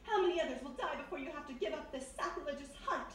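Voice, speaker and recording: A very high-pitched melodramatic heiress. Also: female voice, expressive, fast. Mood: accusing. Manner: theatrical, breathlessly dramatic, plaintive. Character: melodramatic young society divorcee, clinging, despairing daughter. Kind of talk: live radio mystery drama acting. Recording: very echoey hall, distant mic, faint noise.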